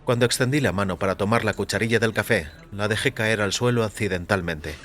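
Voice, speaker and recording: Low-voiced man narrating fiction. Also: a little fast, fairly bright tone. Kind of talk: scripted first-person story narration.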